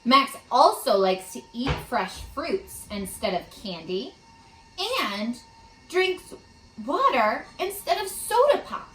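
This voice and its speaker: Slow, high-voiced woman reading to children. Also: roomy sound, faint music behind, rough recording. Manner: expressive, asks the young listeners questions. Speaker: read-aloud teacher. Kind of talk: children's story read-aloud.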